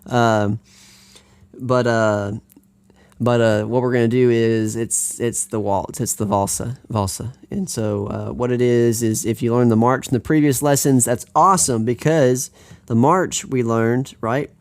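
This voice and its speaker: A man's voice: medium pitch, steady tone, unhurried, clean tone. An American man teaching a live guitar lesson, talking in an upbeat, chatty way that wanders and restarts.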